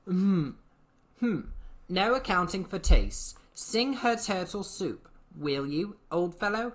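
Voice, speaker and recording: A woman voicing a gruff, impatient, bossy mythical beast in a storybook reading. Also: on the low side, big pitch swings, takes it slowly. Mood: offended, brisk. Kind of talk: dramatized children's storybook reading.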